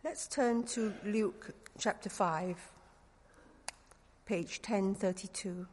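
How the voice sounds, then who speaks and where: A woman's voice: medium pitch, slow, a little mellow, slightly rough. Singaporean lady preacher, bit of london slang, earnest and a little jokey, church echo hanging after every word. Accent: Singaporean English with a touch of London slang. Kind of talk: sermon.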